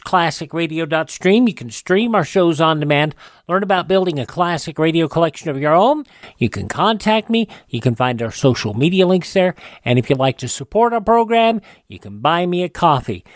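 A man's voice: a little high, no room sound, studio quality. An American man hosting a radio show, reading a scripted sign-off to listeners. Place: dry studio, music behind.

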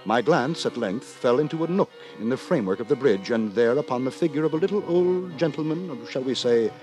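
An actor playing a prim, moralising gentleman who narrates in wordy, formal, mock-solemn 1950s radio drama style. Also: mid-range voice, expressive, a little fast, lightly acted, poor audio. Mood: wry, measured. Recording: 1950s radio, music behind.

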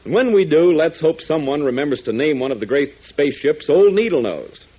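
Male radio announcer, husky, fairly high voice, dramatic serial narration, old lo-fi sound. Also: a little fast. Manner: dramatic, building excitement in countdowns. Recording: dull lo-fi 1940s radio transcription.

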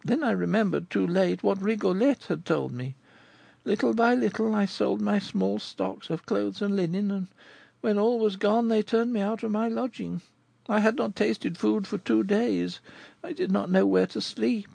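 A man's voice: sits high, moderate pace, creaky, warm tone, no echo, pitched above the performer's own voice, full character voice. A man voicing a sorrowful sixteen-year-old girl in an audiobook, with a plaintive, sighing delivery. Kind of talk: audiobook character reading.

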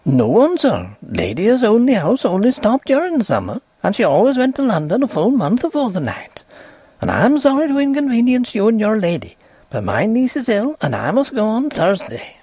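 A man's voice: high-pitched, wildly swooping pitch, moderate pace, mellow, breathy edge, no room sound, pitched above the performer's own voice, full character voice. A man doing a superstitious old country housekeeper. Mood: ominous. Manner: rustic dialect grammar, solemn warnings. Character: old rural woman servant, placid, superstitious. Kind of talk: audiobook character voice.

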